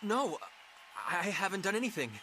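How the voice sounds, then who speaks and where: An actor playing an earnest, modest young adventurer in a fantasy game, hesitant and self-effacing. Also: male voice, high voice, lively intonation, moderate pace, sharp tone. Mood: flustered. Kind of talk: anime-style fantasy game dialogue. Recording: clear game ambience noise behind.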